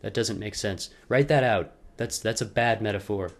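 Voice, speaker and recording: Young adult male for a theatrical first-person letter read to camera; halting, emphatic, with dry asides. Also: lowish voice, vocal fry. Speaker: video letter narrator.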